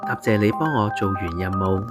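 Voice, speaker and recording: A man's voice: sits low, fairly even intonation, a little fast, loud music behind the voice, natural voice. A man with a Hong Kong Cantonese accent acting a kindly, wise male angel who mentors in a radio drama. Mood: calm, advising. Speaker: voice actor.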